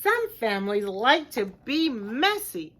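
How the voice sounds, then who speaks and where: Slow, very expressive woman reading to children. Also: on the high side. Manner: slow, expressive, simple deliberate phrasing. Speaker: teacher reading a picture book aloud to children. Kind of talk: children's storybook read-aloud with chatty asides.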